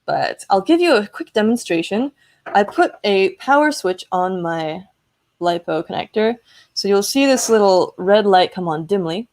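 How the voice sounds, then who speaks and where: A woman's voice: mid-range voice, lively intonation, moderate pace, darkish tone. A woman hosting a casual live electronics demo, chatty and enthusiastic as she explains hardware. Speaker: host of an electronics prototyping livestream.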